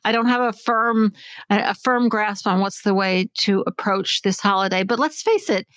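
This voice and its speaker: A woman's voice: medium pitch, moderate pace, a bit bright, no room sound. Woman co-host, dry close sound. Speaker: podcast co-host. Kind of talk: casual reflective chat.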